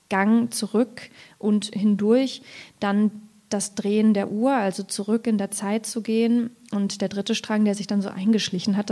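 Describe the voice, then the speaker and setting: A woman from Thuringia in Germany, a poet talking reflectively about her writing, explaining thoughtfully with pauses to order her ideas. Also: mid-range voice, mellow. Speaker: poet discussing her book. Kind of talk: reflective literary panel talk.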